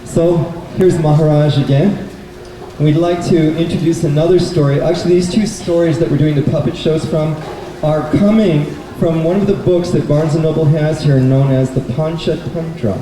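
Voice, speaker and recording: A man presenting a live puppet show, telling stories and explaining their lessons to children in a measured, didactic way. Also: a little high, steady tone, crowd noise. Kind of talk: live storytelling and talk to children. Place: indoor bookstore event. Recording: echoey, noisy room, distant mic.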